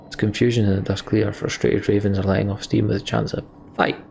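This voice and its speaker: A man's voice: fairly low pitch, flat delivery, fairly quick, creaky, darkish tone, slight background noise. A man hosting a let's play, reading game text aloud and commenting casually on his play. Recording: game music behind the voice. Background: game music.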